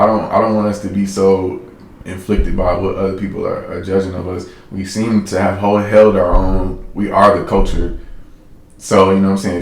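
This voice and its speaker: A man's voice: low-pitched, reverberant, low-quality recording. A man co-hosting a podcast, giving his opinion in casual chat, stressing and stretching key words. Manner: emphatic, drawing out key words. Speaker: podcast co-host.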